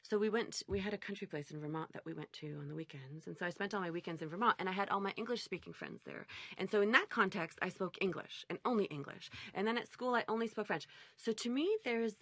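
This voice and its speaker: A woman's voice: mid-range voice, fast talker, a bit bright, dry recording. A middle-aged woman from Montreal, an interpreter answering interview questions in a reflective, conversational way, often reworking her sentences as she goes. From Montreal, Canada. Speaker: conference interpreter being interviewed.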